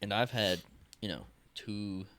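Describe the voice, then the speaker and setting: A man in his twenties co-hosting a podcast, talking in a casual, halting way, often restarting and searching for words. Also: on the low side, a bit bright, no echo. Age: 20s. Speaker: podcast co-host.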